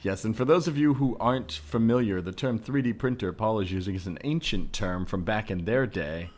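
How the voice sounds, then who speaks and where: A man playing a mild, learned doctor from the far future in improvised comedy, speaking in a measured, hesitant, mock-scholarly way. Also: fairly low pitch, darkish tone, close to an everyday voice. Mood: condescending, bemused.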